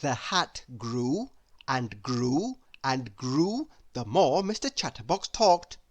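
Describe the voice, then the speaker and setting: Female children's storybook narrator; low register, extremely lively intonation, expressive read-aloud delivery. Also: takes it slowly.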